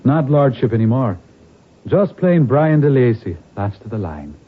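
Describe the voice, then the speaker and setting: An actor playing a proud but weary impoverished nobleman, husky-voiced, in old radio drama style. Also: medium pitch, big pitch swings, moderate pace. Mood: wistful, resolute. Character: impoverished Irish nobleman, proud yet weary, last of his line. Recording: narrow-band old radio broadcast.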